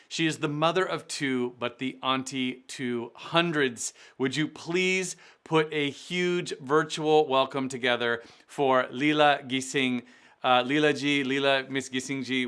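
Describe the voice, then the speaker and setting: A man hosting an online charity gala, upbeat and effusive, greeting viewers warmly in a chatty way. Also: mid-range voice, clear, resonant tone. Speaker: event host.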